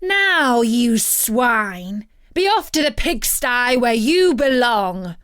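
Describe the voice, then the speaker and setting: An imperious storybook enchantress, played by a woman narrator, scornful and commanding. Her voice rises high and swings widely, with slow, deliberate words. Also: sing-song, fairly bright tone, clear, resonant tone, dry recording, studio quality, full character voice. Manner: commanding, disdainful. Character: imperious, scornful beautiful enchantress who bewitches men. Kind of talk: storybook character voice.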